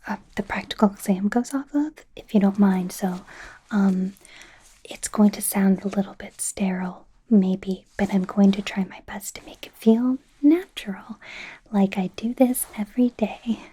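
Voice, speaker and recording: A woman voicing a nervous, awkward young female werewolf medical student in a halting, breathy, mostly whispered ASMR roleplay. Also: medium pitch, mellow. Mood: nervous, apologetic. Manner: flustered, halting, apologetic, self-coaching mumbles.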